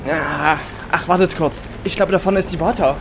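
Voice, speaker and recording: A man's voice: on the high side, steady tone, moderate pace, creaky, loud music behind the voice. A man playing a timid, defensive young anime fan in an amateur audio drama.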